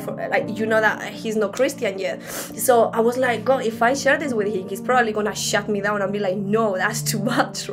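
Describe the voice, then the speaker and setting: A young woman with a fairly high voice and a Spanish accent shares a personal teaching. She speaks in an earnest, halting, conversational way on a video call from home, with music clearly heard behind her. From Spain. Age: young adult. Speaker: preacher. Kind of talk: informal teaching with personal testimony. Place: small room at home. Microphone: laptop on a video call.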